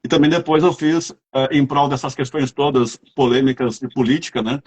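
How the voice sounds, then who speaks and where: A man's voice: medium pitch, steady tone, brisk, a little mellow, breathy edge. A man with a Brazilian accent, chatting casually as an interviewer and telling rambling anecdotes. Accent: Brazilian Portuguese.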